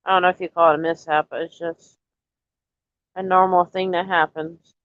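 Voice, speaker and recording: A woman chatting casually to viewers on a live stream, a little nasal and stuffy from allergies, rambling in a relaxed way. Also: on the low side, normal speed, no room sound, narrow, tinny audio. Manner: rambling, relaxed, talks straight to chat.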